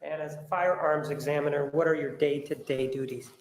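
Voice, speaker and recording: A man questions a witness in formal, halting courtroom style, pausing on fillers. The sound is roomy courtroom audio with a faint background hum. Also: a little high, fairly even intonation.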